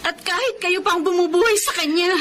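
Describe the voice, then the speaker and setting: An aggrieved, protective elder sister in a radio drama. Her very high, sharp voice is plaintive and emotional with a Filipino accent, over faint noise. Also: a little fast. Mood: indignant pleading. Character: aggrieved, protective elder daughter, indignant and pleading.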